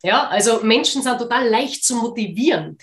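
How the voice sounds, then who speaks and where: A woman with an Austrian German accent, a motivational coach who talks in a lively, energetic way in a casual interview. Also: fairly high pitch, moderate pace. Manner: lively, energetic, laughs easily. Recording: roomy echoey recording.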